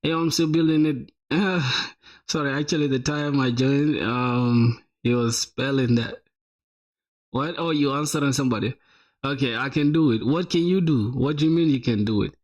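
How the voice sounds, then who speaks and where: A man's voice: mid-range voice, normal speed, a little mellow. A young man live-streaming, reviewing viewers' websites in a casual, slangy way, full of "bro" and "my boy".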